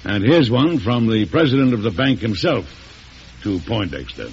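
A man's voice: on the low side, big pitch swings, moderate pace, darkish tone, husky, full character voice. An older man playing an aging, stern and wry American president in an old-time radio drama, formal and commanding. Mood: wry, measured. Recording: hissy, muffled vintage radio recording.

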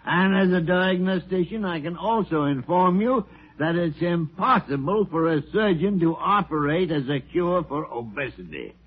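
An actor playing a crusty, sardonic senior diagnostician in a classic American radio drama, with a rough husky voice and a dry grumble. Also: male voice, a little high, animated, moderate pace. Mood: crusty, insistent. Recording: narrow-band old radio recording.

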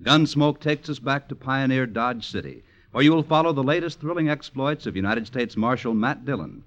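A man's voice: mid-range voice, lively intonation, normal speed, a bit bright, dull audio. A man working as a 1950s network radio announcer, with a polished promotional delivery.

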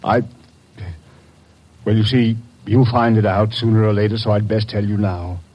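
An actor playing an anguished, remorseful father who speaks in a halting, pleading way, in 1940s radio acting. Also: lowish voice, level tone, lo-fi sound, close to an everyday voice.

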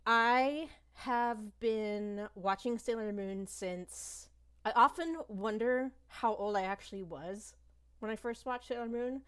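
A woman in her thirties chats casually as a podcast guest. She rambles a bit, makes fun of herself, and fills her pauses with ums, at a middling pitch. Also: smooth. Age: 30s. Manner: rambling, self-deprecating, hesitant with many ums. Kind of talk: casual chat.

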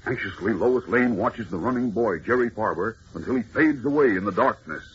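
An actor as a suspenseful narrator of an old radio serial, speaking with urgent drama. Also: male voice, lowish voice, lively intonation, a little fast, fairly bright tone, slightly rough, full character voice. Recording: muffled, noisy old radio recording.

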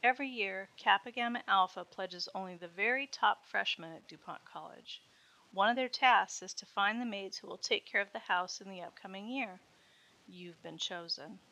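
A teasing, bossy red-haired college senior girl, as a woman reader puts her on. She sounds self-assured and mocking, with a bright, sharp edge to her voice. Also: mid-range voice, moderate pace, poor audio.

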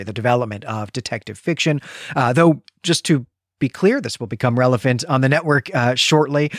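Guy podcast host chatting about books, mid pitch, rambly explainer, really dry close mic. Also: fairly bright tone, dry recording. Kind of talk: conversational literary discussion podcast.

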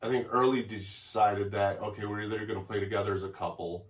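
A man's voice: on the low side, steady tone, a little fast. A man co-hosting a podcast from his home office, chatting casually and often repeating words as he starts a thought. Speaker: podcast co-host. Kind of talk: casual chat. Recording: echoey room, distant mic.

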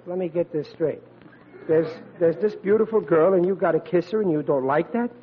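A man's voice: on the high side, moderate pace, slightly rough. An actor playing a blustering, bashful, big-hearted working-class man with a Brooklyn accent in a 1940s radio comedy, ungrammatical and stammering when flustered. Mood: blustering, boastful. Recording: muffled, noisy vintage radio broadcast.

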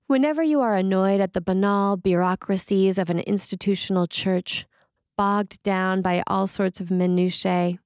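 A woman preacher reading a written sermon in a measured, reflective and earnest way. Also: medium pitch, darkish tone, no room sound, clean studio sound.

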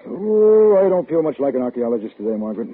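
Husky, easygoing 1950s radio dad. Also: male voice, mid-range voice, sing-song, fast. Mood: amused, lazy. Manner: wry, lazy, indulgent. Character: easygoing, teasing father, absorbed and unbothered. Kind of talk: 1950s radio drama acting. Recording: tinny 1950s radio recording.

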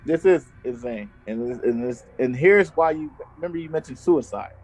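A man's voice: medium pitch, expressive, moderate pace, darkish tone, soft background music, low-quality recording. A man co-hosting a podcast, telling a true crime story in casual chat and laughing often. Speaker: podcast co-host.

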